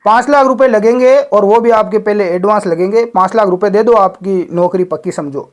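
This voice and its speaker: A man's voice: sits high, a little fast. A man talking on a phone call, explaining and questioning, repeating himself for emphasis. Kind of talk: phone conversation.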